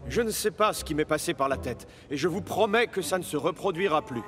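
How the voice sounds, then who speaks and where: Casting: contrite cartoon passenger, earnest, a little high-pitched, reverberant, faint music behind. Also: male voice, lively intonation, brisk, fairly bright tone. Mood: sheepish. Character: sheepish, apologetic male train passenger. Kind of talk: animated children's series dub.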